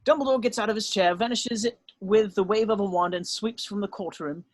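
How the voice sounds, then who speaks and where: Male table-read narrator, notably high voice, close dry recording, reading scripted scene directions. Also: fairly quick, dry recording.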